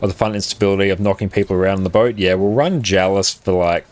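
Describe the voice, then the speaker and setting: Casual male streamer, very deep voice, easygoing filler-heavy chat, close compressed mic, faint hiss behind. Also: quick pace. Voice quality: very low voice. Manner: relaxed, rambling, many "like" fillers. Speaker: game streamer. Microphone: condenser mic on an arm, compressed.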